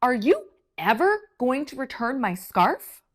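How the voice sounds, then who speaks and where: A woman's voice: sits high, very animated, a little slow, slightly rough, full character voice. A woman voicing a snappy, grudge-holding sour grape in a picture book, complaining in an exaggerated huff. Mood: snappy, indignant. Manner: exaggerated huffy complaining with growls and grunts.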